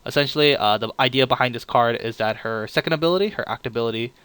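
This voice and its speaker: A man hosting a casual card game review, a little sick, talking in a relaxed and apologetic way with many filler "uh"s. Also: mid-range voice, fast talker, clear, resonant tone, dry recording. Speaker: channel host reviewing card leaks.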